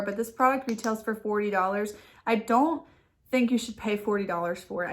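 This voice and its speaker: Stuffy-nosed woman chatting casually. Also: medium pitch. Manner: chatty and relaxed, with small laughs. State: stuffy nose from a head cold. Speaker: beauty vlogger. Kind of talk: casual product review. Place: living room couch at home. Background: dog making noise nearby.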